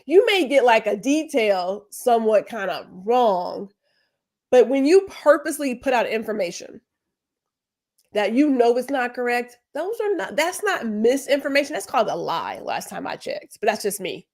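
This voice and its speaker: A woman with a somewhat high voice co-hosts a casual talk podcast. She speaks emphatically, works in rhetorical questions, and makes her points through vivid storytelling. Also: sing-song, normal speed, darkish tone. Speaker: podcast co-host. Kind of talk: casual conversation.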